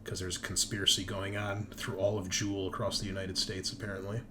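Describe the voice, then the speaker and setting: A man's voice: sits low, level tone, brisk, crowd noise. A man acting as game master, chatting casually and explaining the game's backstory in a rambling, conversational way.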